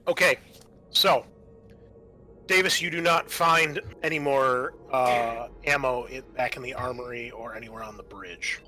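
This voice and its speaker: Bright-voiced man running a tabletop game. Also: mid-range voice, a little slow. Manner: informal, thinking aloud. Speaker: game master. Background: quiet game soundtrack music.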